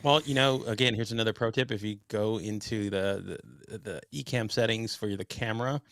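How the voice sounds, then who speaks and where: A man's voice: lowish voice, moderate pace, fairly bright tone, no echo, clean studio sound. A man who is a guest on a livestream chats casually, laughs often and gives tips. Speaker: guest, fellow livestream host. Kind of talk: casual chat.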